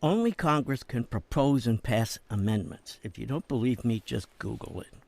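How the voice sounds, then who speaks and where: An older American man, a jailed politician in a game drama. His pitch moves in lively swings. He speaks with wry, fatherly persuasion, coaxing like a practiced schemer. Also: moderate pace, gravelly, no room sound. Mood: earnest, lecturing. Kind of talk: dramatic game cutscene acting.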